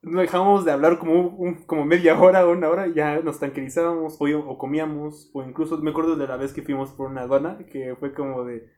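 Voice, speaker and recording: A man's voice: fairly high pitch, quick pace, few pauses. A young man, an architecture student reflecting casually on teamwork. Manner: relaxed, reflective, lots of fillers. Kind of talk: casual group chat.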